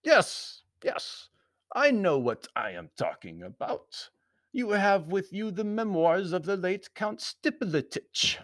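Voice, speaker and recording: High-pitched, pompous Baron. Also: male voice, sing-song, takes it slowly, fairly bright tone, no room sound, full character voice. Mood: stern, accusing. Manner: stiff, formal, inverted word order. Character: big bearded foreign Baron, stiff, formal, pompous loyalist envoy.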